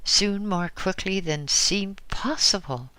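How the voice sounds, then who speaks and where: A man voicing a grieving old widow who is frightened and desperate, with tearful, pleading outbursts that break high. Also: slow pace, sharp tone, clean tone, no echo, full character voice. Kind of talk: audiobook reading.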